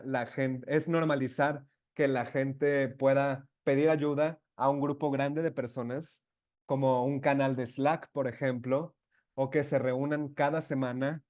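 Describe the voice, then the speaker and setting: Man interpreting live in halting phrases. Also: medium pitch, a little mellow. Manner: halting phrases with false starts and self-corrections. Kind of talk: live spoken interpretation of a webinar conversation.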